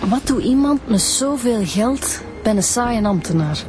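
An actress playing an observant, level-headed police detective in a radio drama, thoughtful, with a Flemish accent. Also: female voice, on the high side, expressive, unhurried. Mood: musing. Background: music.

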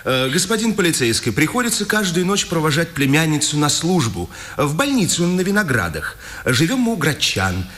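An actor playing a playful, warm-hearted resistance leader in a 1950s radio drama, with teasing theatrical delivery. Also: male voice, fairly high pitch, big pitch swings, moderate pace, few pauses. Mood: polite pretence. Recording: old radio play with music behind. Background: music.

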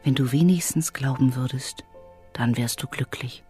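A woman's voice: very deep voice, moderate pace, dark tone, soft background music. An actress playing a hopeful, anxious mother in a German radio play, soothing and insistent, sometimes dropping to a whisper. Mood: anxious, hushed. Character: hopeful, anxious wife and mother awaiting her daughter.

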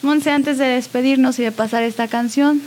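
A woman radio host with a fairly high voice, speaking in an earnest and encouraging way. She keeps it conversational and slips in little giggles, over a slight background hiss. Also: fairly even intonation, normal speed, a little mellow. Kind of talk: warm conversational radio sign-off.

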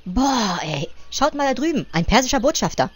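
A woman's voice: a little high, big pitch swings, normal speed, husky. A woman voicing a servile, dim gnome adviser, hesitant and mock-deferential, in an amateur parody audio play. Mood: sheepish, distracted.

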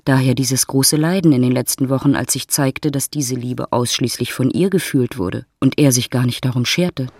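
A man narrating a literary audiobook in a measured, dramatic storytelling manner. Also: mid-range voice, no room sound, studio quality.

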